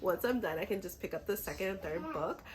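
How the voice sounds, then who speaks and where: A woman's voice: on the low side, fast talker. A woman who is a little sick chats casually and enthusiastically to camera as a book vlogger, in an informal way.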